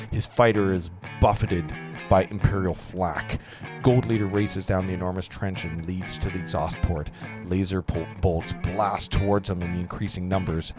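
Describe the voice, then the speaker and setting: A man with a low voice reads screenplay action lines aloud in an informal, slightly bumbling way. Guitar music plays clearly behind him as he narrates. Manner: informal, stumbles over words and jokes about it. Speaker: narrator of a table read. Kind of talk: reading screenplay stage directions aloud.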